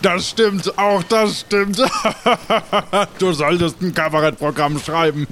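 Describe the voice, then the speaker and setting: A man's voice: high voice, fairly even intonation, slow pace, a bit bright, slight background noise. A man playing a cheerful, easygoing mail-boat skipper who chuckles and hesitates in an improvised pirate audio drama. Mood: amiable.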